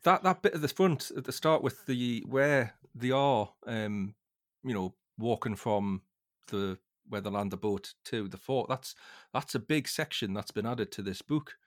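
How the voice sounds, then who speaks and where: A man hosting a casual book discussion, speaking in a thoughtful, hesitant way with halting phrases. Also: mid-range voice, lively intonation.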